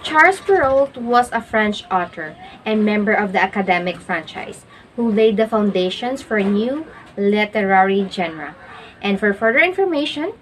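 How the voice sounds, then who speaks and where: A woman narrates educational material from a script. She reads formally at a middling pitch, with faint noise in the background of the recording. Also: fairly bright tone, poor audio. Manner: reading aloud, formal.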